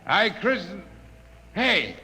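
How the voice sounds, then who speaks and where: Brash crewman for radio drama: slow boisterous drawl, swinging pitch, taunting swagger. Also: sing-song, pushed and bright, in a large hall, a little hiss or hum, heavily acted. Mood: boastful, taunting. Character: brash, rowdy male space crewman out to celebrate.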